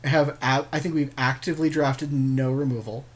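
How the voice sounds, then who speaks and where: Medium-pitched man in casual podcast chat. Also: steady tone, moderate pace. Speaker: podcast co-host.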